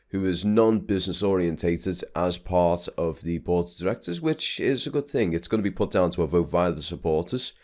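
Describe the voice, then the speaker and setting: A man's voice: low-pitched, darkish tone. A young man, talking casually and rambling a bit as he vlogs over drone footage. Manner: chatty, rambling with restarts. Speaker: vlogger.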